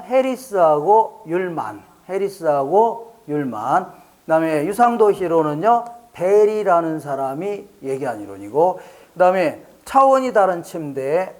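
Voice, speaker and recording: A man from the Chungcheong region teaching an exam prep lecture, playful and jokey, quizzing listeners with rhetorical questions and answering them himself. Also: sits high. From Chungcheong region, Korea. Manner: playful, jokey, drills mnemonics by repetition.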